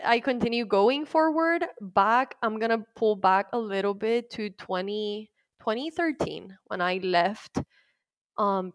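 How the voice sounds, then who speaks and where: A woman in her thirties, telling her life story as an interview guest in a reflective, soft-spoken way, pausing to search for words. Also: mid-range voice, clear, resonant tone, no room sound. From Puerto Rico.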